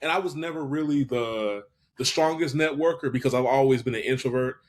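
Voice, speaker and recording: A man's voice: mid-range voice, fairly quick. A man in his twenties, a podcast guest talking casually and reflectively, hesitant, with many restarts and fillers. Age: 20s. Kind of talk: casual reflective interview talk.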